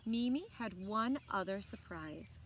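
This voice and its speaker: A woman in her thirties reading a children's picture book aloud expressively, with deliberate phrasing and dramatic emphasis. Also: mid-range voice, animated. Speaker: library story time reader. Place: outdoors on a lawn by trees. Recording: outdoor background noise with birdsong. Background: outdoor ambience, birds.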